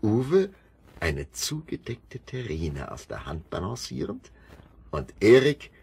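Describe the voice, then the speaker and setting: A man narrating a children's radio play in a measured, lively storytelling manner. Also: mid-range voice, creaky, breathy edge.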